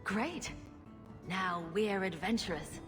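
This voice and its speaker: A quippy young game heroine, with a mid-range, lively voice. She is dryly humorous, in long reverb with music behind. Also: medium pitch, slow pace. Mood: sarcastic. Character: quippy, sarcastic young female rogue hero. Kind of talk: video game character dialogue.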